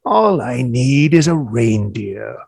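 A man voicing a grumpy, scheming creature in a storybook reading, with a sneering, snarling delivery and a raspy, gravelly voice. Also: medium pitch, expressive, slow, a little mellow, no echo, clean studio sound, heavily acted.

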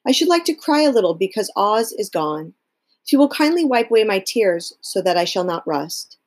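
A woman's voice: mid-range voice, sing-song, normal speed, a little mellow. A woman reading a storybook, voicing a sentimental, courteous male tin woodman in an earnest way. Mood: mournful.

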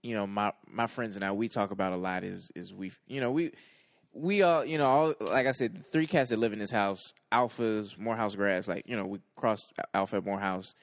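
A man in his twenties in casual conversation, haltingly circling back over his phrases as he makes a point. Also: lowish voice. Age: 20s. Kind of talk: casual chat.

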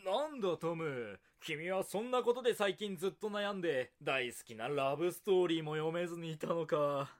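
A man's voice: on the high side, normal speed, few pauses, natural voice. A man playing a smug, helpful buddy in a scripted infomercial parody, confident and theatrical. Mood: smug, cheerful. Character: smug, helpful anime-fan buddy named Michael.

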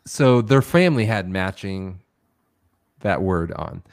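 A man's voice: sits low, lively intonation, slow, no echo. Middle aged guy cohosting a podcast, wry joking banter, dry close sound. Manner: wry, self-mocking banter. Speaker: podcast co-host. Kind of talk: casual chat.

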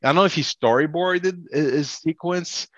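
A man's voice: on the high side, fairly bright tone, dry recording. A man co-hosting a casual film discussion, rambling and stammering as he works through his points. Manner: halting, stammering, rambling delivery. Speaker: podcast co-host. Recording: dull lo-fi audio.

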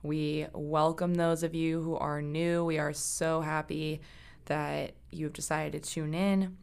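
A young adult woman co-hosting a podcast in casual chat, soft-spoken and quiet. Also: low voice, little pitch movement, takes it slowly, vocal fry, clean tone. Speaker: podcast co-host.

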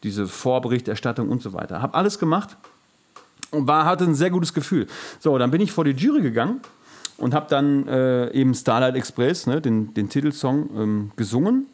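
A man's voice: mid-range voice, lively intonation, normal speed. A man co-hosting a podcast, telling stories in a casual, colloquial and blunt way with some swearing. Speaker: podcast co-host; musical singer and actor. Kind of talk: casual storytelling chat.

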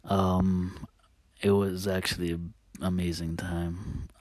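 A man talking casually as a host, telling personal stories in a halting, hesitant, confiding way. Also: low-pitched, little pitch movement, darkish tone, dry recording.

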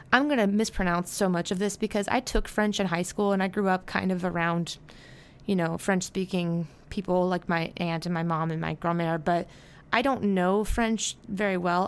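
A young American woman in her twenties talks through her podcast in a loose, rambling way, stopping for fillers and correcting herself as she goes. Her voice is smooth and clear at a mid pitch. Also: steady tone, normal speed. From United States. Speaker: podcast host. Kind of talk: casual solo podcast chat.

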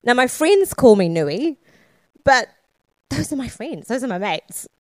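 A woman's voice: fairly high pitch, sing-song, a little slow, dry recording. A woman from Sri Lanka, Scotland and New Zealand giving a conference keynote, using rhetorical questions and emphatic repetition. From born in Sri Lanka, raised in Scotland and New Zealand. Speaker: keynote speaker, founder.

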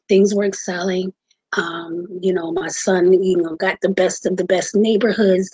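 A woman in her forties hosting her own podcast, telling her personal story earnestly with many pauses and fillers. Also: fairly low pitch, slightly rough, dry recording, low-quality recording. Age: 40s. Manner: earnest, halting with many ums. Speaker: podcast host. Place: home, just moved in.

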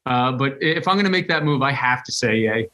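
A man guesting on a casual podcast chat, speaking in a measured, friendly and businesslike way. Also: mid-range voice, expressive, sharp tone. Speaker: podcast guest, equipment company rep.

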